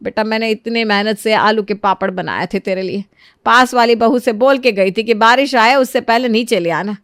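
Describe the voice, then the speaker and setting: A woman voicing a kind, motherly middle-aged aunty with a plaintive manner in an animated moral story. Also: a little high, moderate pace, no room sound, studio quality, close to an everyday voice.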